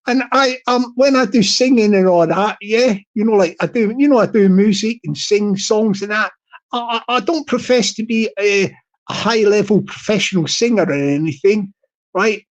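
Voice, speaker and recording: A man with a high-pitched tenor voice, talking casually on his livestream and repeating his phrases as he rambles. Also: moderate pace, highs cut off. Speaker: YouTuber livestream host.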